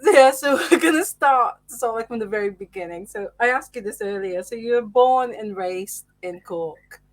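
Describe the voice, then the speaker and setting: A woman's voice: on the high side, moderate pace. A woman hosting a podcast, chatting casually with loose, tentative phrasing. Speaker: podcast host. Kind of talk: casual interview chat.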